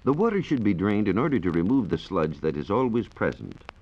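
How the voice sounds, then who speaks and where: A man explaining science in a measured, precise way as a reporter on a 1940s radio program. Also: low voice, big pitch swings, darkish tone. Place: radio studio. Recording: dull, noisy 1940s radio recording.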